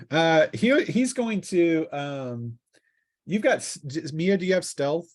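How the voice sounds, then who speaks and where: A man casually narrating a tabletop game as game master, often halting to think aloud. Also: a little high, animated.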